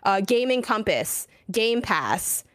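A woman's voice: mid-range voice, very animated, slow, fairly bright tone, dry recording. Young female co-host fighting a cold, chatty and self-mocking, dry mic. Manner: rambling, self-deprecating, lists ideas. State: getting sick, voice a bit rough. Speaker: podcast co-host. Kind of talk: casual chat.

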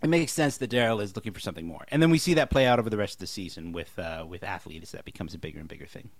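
A man's voice: on the low side, lively intonation, rapid-fire, no room sound. Analytical male podcast co-host, close dry sound. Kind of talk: casual TV recap discussion.

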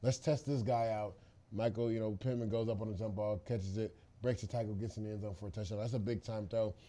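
Fast-talking, mellow male football analyst. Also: lowish voice, fairly even intonation. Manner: emphatic, opinionated, conversational. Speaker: football podcast co-host and analyst. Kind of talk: casual sports analysis.

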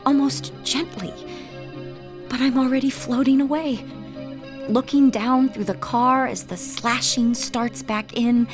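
A woman's voice: sits high, steady tone, unhurried, faint music behind. An actress playing a candid, sardonic young woman artist who sounds haunted, in a naturalistic audio drama. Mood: shaken, intense. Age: young adult.

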